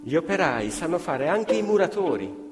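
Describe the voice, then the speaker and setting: A man's voice: very animated, moderate pace. A man narrating on stage in a declamatory, ironic storyteller's manner, recorded live. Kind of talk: theatrical narration. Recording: live theatre recording with long reverb, violin and live strings behind. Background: live violin, piano and double bass.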